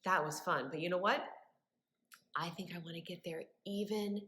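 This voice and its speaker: A woman leading story time for young children as a librarian, with animated wonder and a sing-song, encouraging way of talking. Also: fairly low pitch, moderate pace, slight background noise. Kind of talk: children's story time with rhymes, questions and songs. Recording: echoey room.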